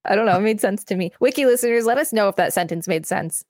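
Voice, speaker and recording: A woman's voice: mid-range voice, quick pace, a little mellow, dry recording, studio quality. A woman hosting a podcast, reading encyclopedia text aloud and adding casual commentary. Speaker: podcast host.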